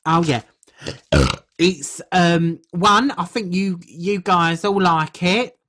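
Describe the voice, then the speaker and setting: A podcast co-host chatting casually and comically in a hesitant, rambling way, with a husky voice. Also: mid-range voice, slow pace, a bit bright.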